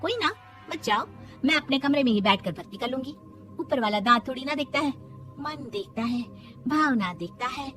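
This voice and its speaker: A woman's voice: high voice, animated, moderate pace, a bit bright. A woman voicing a proud, stubborn, pious young daughter-in-law, indignant and preachy, in a cartoon moral story. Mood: defiant. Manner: indignant, preachy, self-assured. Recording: music behind the voice.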